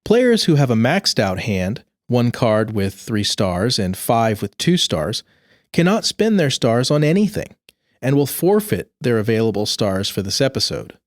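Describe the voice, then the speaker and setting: Lively-pitched man reading out rules. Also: unhurried, no echo, clean studio sound. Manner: precise, instructional. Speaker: co-host reading game rules. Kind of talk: scripted rulebook narration.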